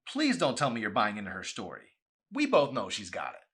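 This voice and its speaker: A man's voice: fairly low pitch, wildly swooping pitch, brisk, fairly bright tone, breathy edge, voice pushed up, heavily acted. Man voicing a snarky, scornful teenage cashier girl. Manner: angry, quipping, contemptuous.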